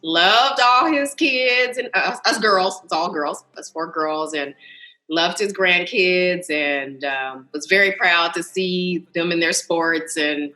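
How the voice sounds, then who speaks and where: An American woman in her early fifties chatting casually and animatedly on a video call, telling family anecdotes as a talkative podcast guest. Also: fairly low pitch. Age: early 50s. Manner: animated, talkative, quick to laugh. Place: indoors, on a Zoom video call. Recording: roomy, echoey video call.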